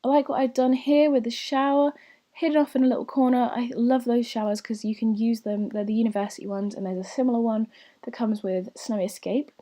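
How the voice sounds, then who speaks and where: Chatty woman, slightly croaky voice. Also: on the high side, fairly even intonation, a little fast. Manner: chatty and rambling, with soft whispery asides. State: slightly croaky, recovering from lost voice. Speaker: gaming channel host. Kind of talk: casual voiceover commentary over gameplay.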